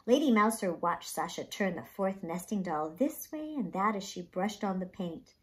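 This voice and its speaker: A woman reading a children's story aloud with expressive, dramatic, emphatic narration. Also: medium pitch, normal speed.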